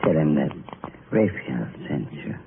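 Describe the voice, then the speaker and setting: An actor playing a courteous, sly pawnshop keeper in an old radio drama, speaking formally and with insinuation. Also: male voice, a bass voice, big pitch swings, measured pace, creaky, exaggerated voice. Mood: smooth, insinuating. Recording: noisy, narrow-band old radio recording.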